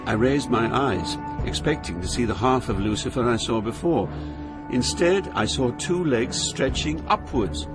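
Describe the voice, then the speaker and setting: An actor playing a grave, awestruck pilgrim, narrating an epic journey through Hell in a solemn, measured way. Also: male voice, medium pitch, expressive, close to an everyday voice, rough recording. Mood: tense recounting. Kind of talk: dramatic scripted narration of an epic poem. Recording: loud music under the voice.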